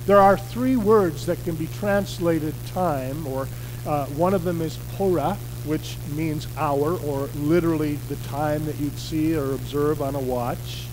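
A middle-aged American man preaching a sermon from a church pulpit, in an earnest, measured way with emphatic stresses. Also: on the high side, background noise. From United States. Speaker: pastor. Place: church sanctuary, indoors. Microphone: podium mic on a stand.

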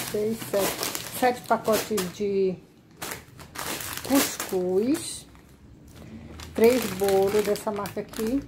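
A woman showing her grocery haul at home, listing each item one by one in a relaxed, casual way. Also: mid-range voice, noisy background. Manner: slow, listing items one by one. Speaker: vlogger. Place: kitchen at home, indoors.

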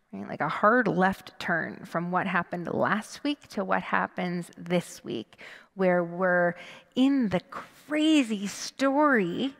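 Creaky-voiced woman preaching in a reverberant hall. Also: medium pitch. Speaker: rabbi. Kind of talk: sermon. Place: large indoor sanctuary. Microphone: headset microphone. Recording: long reverberant hall echo.

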